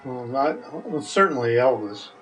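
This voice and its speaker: An older man in a casual interview chat, answering in a halting way with dry humor. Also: mid-range voice, expressive, a bit bright, distant mic, faint music behind. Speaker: recurring interview guest.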